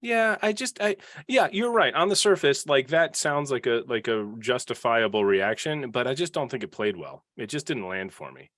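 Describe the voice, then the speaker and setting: A man talking casually and opinionatedly on a podcast panel, rambling into asides and animated retellings. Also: mid-range voice, normal speed, few pauses, no room sound.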